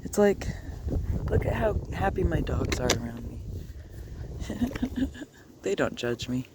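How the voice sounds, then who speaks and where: A man talking musingly while walking his dogs outdoors, sometimes speaking softly to them, recorded on a phone. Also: a little high, big pitch swings, slow, heavy background noise. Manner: musing, soft-spoken, talks to his dogs. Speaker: video blogger. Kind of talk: rambling walk-and-talk vlog. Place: outdoors on a neighborhood walk.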